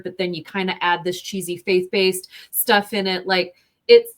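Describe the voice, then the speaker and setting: A woman film reviewer hosting an interview, chatty and lively, talking in a casual way with lots of fillers. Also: lowish voice.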